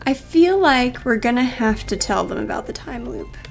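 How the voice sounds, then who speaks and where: A woman with a fairly high voice streams a video game. She reads its text aloud as narration and adds her own commentary, with music underneath. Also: very animated, normal speed, gravelly.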